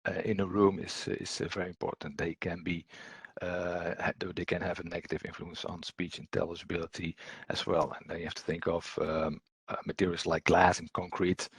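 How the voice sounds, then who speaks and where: A man giving an explanatory technical presentation as a trainer, unscripted, in a halting, hesitant way with repeated words. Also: low voice, little pitch movement, breathy edge, dry recording.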